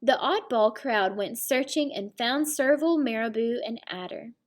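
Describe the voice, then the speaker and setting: Woman, higher-pitched, storybook read-aloud, teacherly, explanatory asides. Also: measured pace. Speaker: teacher reading a picture book aloud.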